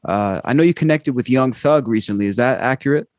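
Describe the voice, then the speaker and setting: A man's voice: mid-range voice, fairly quick, darkish tone, slight background noise. A man hosting a casual interview over a video call, easygoing and affirming his guest often. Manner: easygoing, affirming with "gotcha" and "right". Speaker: podcast host.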